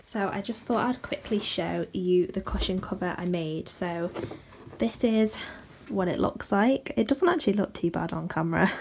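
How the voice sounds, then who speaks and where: A young woman talks casually into a phone held at arm's length in her bedroom. Her voice sits a little low for a woman, and she keeps it soft and hushed, sometimes close to a whisper. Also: creaky, slightly rough. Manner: soft chatty, often hushed to a near whisper. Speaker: vlogger. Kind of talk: casual vlog chat. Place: bedroom, indoors.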